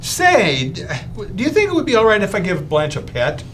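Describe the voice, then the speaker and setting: Fretful neighbor husband played by a man: high for a male, very lively intonation, earnest radio-comedy style. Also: moderate pace, a little hiss or hum, exaggerated voice. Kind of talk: old-time radio comedy acting on stage.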